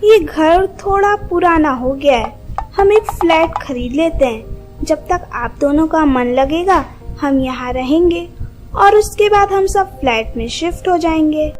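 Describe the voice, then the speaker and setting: A woman's voice: high-pitched, animated, measured pace, darkish tone, faint music behind. A woman playing a scheming, resentful young daughter-in-law in a Hindi moral story. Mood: coaxing.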